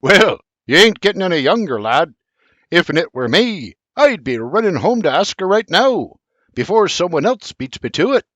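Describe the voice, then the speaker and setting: A man's voice: sits high, very animated, moderate pace, a bit bright, dry recording, muffled recording, voice pushed up, full character voice. A man voicing a fatherly, joking old Scottish nobleman in broad dialect. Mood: hearty. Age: older. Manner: boisterous, teasing, broad dialect.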